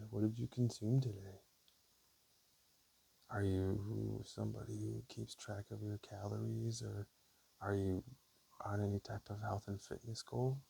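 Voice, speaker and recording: A man speaking softly and casually in an ASMR style, sometimes whispering, with a hesitant, unhurried, low gravelly murmur. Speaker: ASMR creator. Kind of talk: ASMR, soft-spoken and whispered personal talk. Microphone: camera or basic mic, spoken close. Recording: faint hiss on amateur mic.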